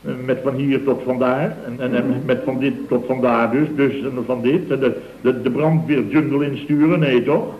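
An actor playing a flustered, blustering middle-aged contractor boss who stammers, repeats himself and grumbles in a comic radio drama. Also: male voice, mid-range voice, fairly even intonation, moderate pace, few pauses, lightly acted. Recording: dull, roomy old radio recording with hiss.

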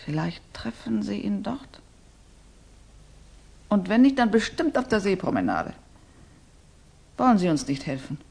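Imperious, creaky-voiced mother. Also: mid-range voice, very animated, a little mellow, exaggerated voice. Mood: urgent, insistent. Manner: insistent, measured, imperious. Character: resolute, commanding Russian mother, grieving lady of standing. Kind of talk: 1960s German radio drama acting.

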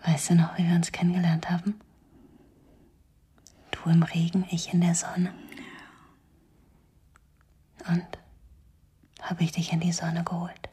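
An actress playing an earnest, caring woman determined to help, speaking in a measured way in a radio drama. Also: lowish voice, completely flat delivery, dark tone, slight background noise, lightly acted. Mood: tender.

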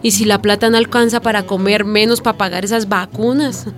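A woman's voice: mid-range voice, a little fast, soft background music, close to an everyday voice. Actress as a spirited young Colombian woman. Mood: sorrowful, earnest. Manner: animated, familiar, slangy. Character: lively, resilient young Colombian refugee woman selling vegetables. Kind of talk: radio drama dialogue.

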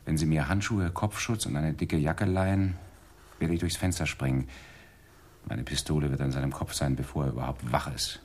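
An actor playing a bold, dry-witted police detective in a German radio crime play, with a cool manner and husky voice. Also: male voice, very deep voice, fairly even intonation, normal speed, darkish tone. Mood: bold, planning.

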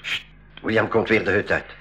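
A man's voice: lowish voice, fairly even intonation, normal speed, bright, forceful tone, muffled recording, a little hiss or hum. A man playing a wary, level-headed expedition member in a school radio drama.

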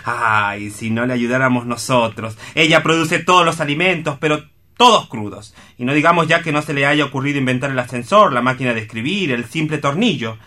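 Man acting a bright-voiced, cynical city journalist. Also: mid-range voice, brisk, natural voice. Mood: mock exasperation. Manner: declamatory, ironic. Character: witty, cynical urban newspaperman, jaded with nature. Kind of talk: radio play acting.

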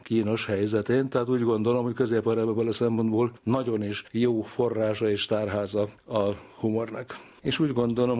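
A man's voice: medium pitch, mellow. A man giving reflective, scholarly explanations in an interview, speaking discursively as an expert.

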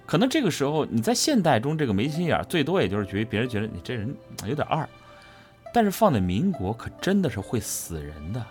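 A man's voice: medium pitch, wildly swooping pitch, fast talker, darkish tone, faint music behind. A man, a voice actor, giving a casual, explanatory interview answer and repeating phrases for emphasis. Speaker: voice actor interviewed about his character.

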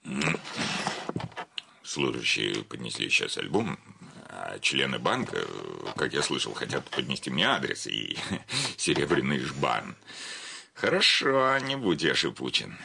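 A self-satisfied bank chairman, holds forth with florid pomp. His very deep, gravelly voice has a forceful edge, and he draws words out theatrically as in a radio play. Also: big pitch swings, unhurried, a little hiss or hum, full character voice. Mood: self-important.

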